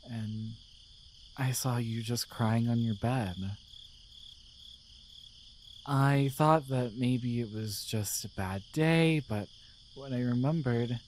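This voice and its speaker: A man voicing a devoted, gentle guardian angel in an intimate romantic ASMR roleplay, speaking softly with tender, hesitant care. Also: mid-range voice, slight background noise, natural voice. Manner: soft, tender, hesitant, soothing. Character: devoted, gentle male guardian angel in love with their human.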